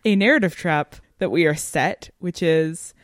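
A woman's voice: low voice, measured pace, no room sound, clean studio sound. A woman co-hosting a podcast, talking casually and analytically in a thoughtful way, often feeling for words with "like". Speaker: podcast co-host. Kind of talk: casual analytical discussion of fiction.

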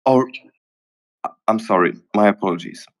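A man with a somewhat low voice talks casually in a live audio chat. He sounds conversational and keeps raising questions. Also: normal speed. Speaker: audience speaker.

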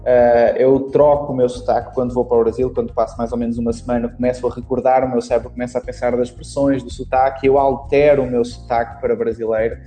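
A young man with a European Portuguese accent tells his life story at length in a podcast interview. His voice is very dark and mellow. A faint bed of music sits under him. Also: mid-range voice, level tone, fast talker, hardly any pauses. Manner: long rambling storytelling. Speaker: guest, marketing instructor.

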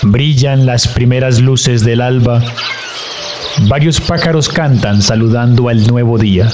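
A man narrating a scripted radio drama in a measured, solemn storytelling manner. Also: medium pitch, background noise. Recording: loud music over the narration.

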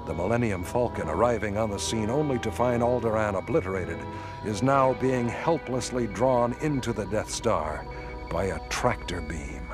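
A man narrating a radio drama from a script in a measured, dramatic manner. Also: fairly low pitch, over music, close to an everyday voice.

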